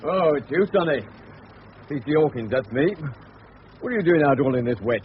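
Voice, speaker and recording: Lively, colloquial English police constable played by a man. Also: mid-range voice, moderate pace, close to an everyday voice. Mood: chatty, gruff. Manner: colloquial, avuncular. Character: chatty, good-natured village police constable, soaked through. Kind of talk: radio drama acting. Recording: muffled, very noisy old radio recording.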